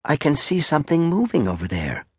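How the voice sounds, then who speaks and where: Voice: medium pitch, sing-song, brisk, darkish tone, slightly rough, no echo, clean studio sound, voice pushed up. Performer doing a meek, lilting, over-polite simpleton soldier. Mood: hesitant, earnest. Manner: obsequious, coy, muddled fussy phrasing.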